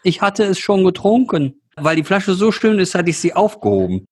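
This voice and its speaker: A man's voice: high-pitched, big pitch swings, moderate pace, darkish tone, husky. A man co-hosting a beer podcast, chatty and telling stories in a casual tone. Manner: chatty, anecdotal storytelling. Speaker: podcast co-host. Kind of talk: casual chat.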